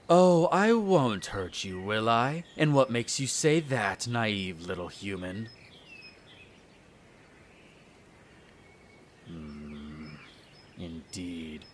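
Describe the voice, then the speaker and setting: A man playing an irritable aristocratic vampire in a roleplay audio drama, speaking formally with sneering condescension toward humans. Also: fairly low pitch. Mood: menacing. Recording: nature ambience with birdsong behind. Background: birdsong and garden ambience.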